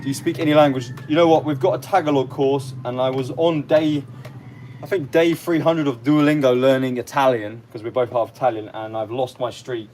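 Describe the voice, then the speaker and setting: A man's voice: mid-range voice, fairly even intonation, fairly quick, a little mellow. A young man with an English accent from around London, chatting casually and easygoing on a livestream as he answers comments. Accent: English, London area. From near London, England. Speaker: livestream host. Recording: clearly noisy live stream with ambient sound.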